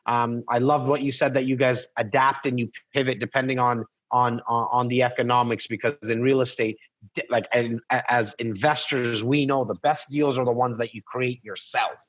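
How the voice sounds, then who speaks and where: A man co-hosts a panel over a video call, enthusiastic and often restarting his words. His voice sits at a middle pitch. The call audio is dull and lo-fi. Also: steady tone, normal speed. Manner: enthusiastic, repetitive, stumbling restarts. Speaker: co-host.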